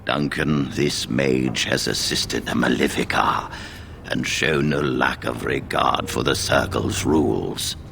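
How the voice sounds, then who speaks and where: A man playing a grave old mage leader in a fantasy video game, speaking in a measured, stern, gravelly voice. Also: a bass voice, fairly bright tone, slight background noise, exaggerated voice. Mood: disapproving.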